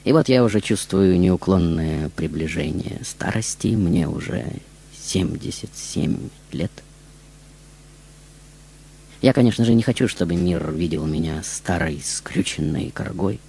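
A man playing an aged, reclusive female film star who recounts her life in a deliberate, declamatory stage monologue. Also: low-pitched, vocal fry, warm tone, husky, exaggerated voice. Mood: solemn, wry. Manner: declamatory, deliberate, addressing the audience. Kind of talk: theatrical monologue, stage storytelling.

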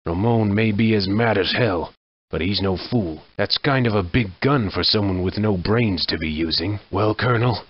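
Game hero, male actor as sardonic frontier lawman: raspy, lowish, terse and wry, on slightly dull audio. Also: moderate pace. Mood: grim, vengeful. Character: gruff, sardonic Wild West sheriff gunslinger hero. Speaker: voice actor for a game mod protagonist. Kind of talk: video game character one-liners.

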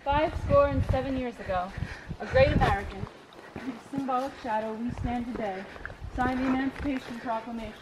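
A woman's voice: fairly high pitch, fairly quick, very noisy. A young woman hiking outdoors, talking playfully and reciting passages from memory. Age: young adult. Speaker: vlogger's sister. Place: outdoors on a hike.

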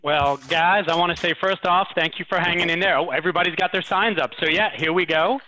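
A man's voice: high voice, a little fast, sharp tone, slight background noise. A man presenting a charity event, casual and encouraging, in a fundraising appeal over a video call. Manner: informal, encouraging. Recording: muffled dry video call.

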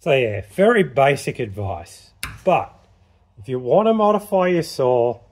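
A man's voice: medium pitch, sing-song, a little slow, a little mellow, husky. A man giving a casual, matter-of-fact workshop demonstration of filing a chain, talking plainly while he works. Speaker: chainsaw sharpening instructor. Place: workshop bench.

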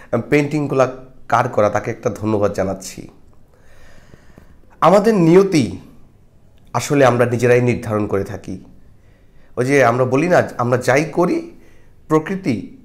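Middle-aged male film reviewer, reflective and moralizing, to camera on a clip-on mic. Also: medium pitch. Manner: reflective, moralizing, frequent hesitations. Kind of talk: casual film review monologue. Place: small indoor studio with patterned backdrop. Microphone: lapel mic.

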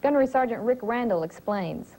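A young American woman anchors a military TV newscast. Her voice sits on the high side, and she reads in a formal, measured manner with careful articulation, close on a studio lapel mic. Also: animated, mellow, poor audio. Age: young adult. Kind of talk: scripted television newscast.

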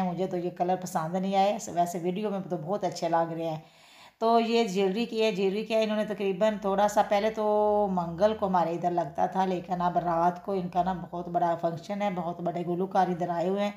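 A woman's voice: medium pitch, dark tone. Chatty woman vlogging in an echoey kitchen. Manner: chatty, repeats filler phrases, addresses viewers directly. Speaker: cooking and daily-life vlogger. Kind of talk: casual home vlog narration. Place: home kitchen, then outdoor street fair. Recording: echoey room sound.

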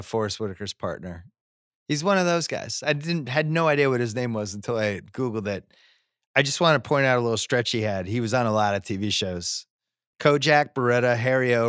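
A man's voice: lowish voice, fairly quick, fairly bright tone, smooth, no echo. A middle-aged man hosting a casual movie podcast, speaking close to a studio mic. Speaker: podcast host. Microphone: broadcast mic on a boom arm, close.

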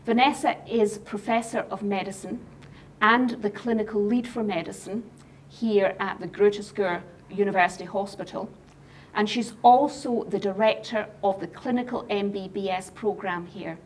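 A woman's voice: mid-range voice, fairly even intonation, moderate pace, slight background noise. A middle-aged woman hosting an interview series, introducing her guest and asking questions politely and formally. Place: office interview set, indoors.

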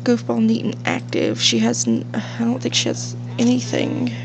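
A young woman with a slight cold narrates her game in a loose, chatty way, full of fillers and side stories. Her voice is fairly high and swings around a lot, with a gravelly fry at the ends of phrases. A faint hum from a fish tank sits under the home recording. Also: wildly swooping pitch, normal speed. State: sniffly from a cold. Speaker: gaming channel host. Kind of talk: casual let's-play commentary.

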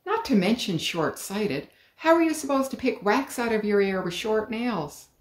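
A woman's voice: medium pitch, lively intonation, moderate pace, voice pushed up, lightly acted. A woman voicing an eccentric, dramatic male shopkeeper who makes prim pronouncements in a storybook reading. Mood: fussy. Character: eccentric theatrical male shopkeeper, middle-aged. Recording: roomy living room.

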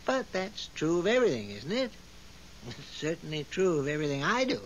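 An actor playing a weary, godlike overseer of the stars in a 1970s radio drama, scolding and long-suffering in a theatrical way. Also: male voice, on the high side, very animated, a little fast, muffled recording. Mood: exasperated, lofty. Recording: hissy 1970s radio broadcast recording.

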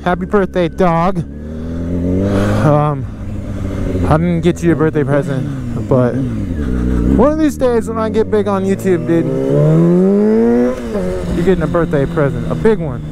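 A man's voice: fairly high pitch, very animated, unhurried, pauses often. A young man talking casually to the camera while riding a motorcycle, laid-back and jokey. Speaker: motovlogger. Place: outdoors on a motorcycle in traffic. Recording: loud wind and engine noise. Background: loud engine and road noise.

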